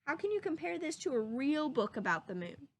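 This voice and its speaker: Female read-aloud teacher, high voice, very animated intonation, stretches key words and checks in with young listeners. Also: brisk. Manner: animated storybook delivery, drawing out words, asking questions. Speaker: teacher reading a picture book aloud to children. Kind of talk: expressive children's read-aloud with questions to listeners.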